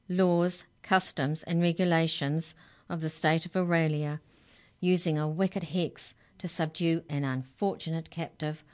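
A woman's voice: lowish voice, a little mellow, close to an everyday voice. A woman voicing a stern, officious female law officer in a storybook, speaking formally and emphatically. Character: stern, officious female lawwoman making arrests.

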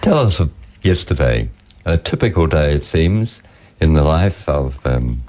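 A man's voice: rumbling low, sing-song, unhurried, a little mellow. A man interviewing a guest, asking questions in formal, wordy phrasing.

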